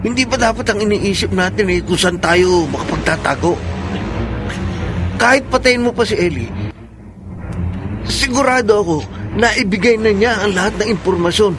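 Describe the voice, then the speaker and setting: An actor playing a fearful criminal accomplice on the run in a radio drama, anxious and questioning. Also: male voice, sits high, moderate pace, pauses often, music in the background, close to an everyday voice.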